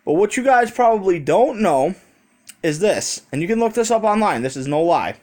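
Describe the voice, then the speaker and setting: A man's voice: high voice, big pitch swings, moderate pace, a little mellow. An American man talking in a rambling, conversational way with casual swearing, telling a story as a vlogger into a handheld phone.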